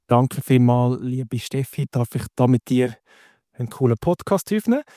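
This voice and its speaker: A man's voice: medium pitch, animated, moderate pace, mellow, no echo, studio quality. A man from Valais with a Valais Swiss accent, a coach explaining things conversationally as a podcast guest. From Valais, Switzerland.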